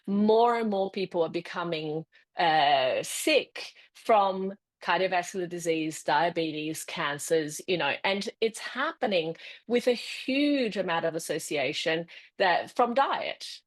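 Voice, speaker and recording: Guest voice: middle-aged Brazilian-born woman, Australian accent, lowish, rambling but thoughtful. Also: lively intonation, slow. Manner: thoughtful, lightly rambling explanation with restarts. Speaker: fertility specialist guest. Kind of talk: podcast interview answer.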